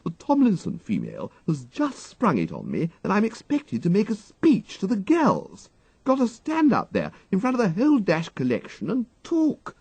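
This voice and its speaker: A high, flustered upper-class English young gentleman. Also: huge pitch swings, normal speed, gravelly, breathy edge, dry recording, heavily acted. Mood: panicked, agitated. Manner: hesitant, exclamatory, prone to dated slang.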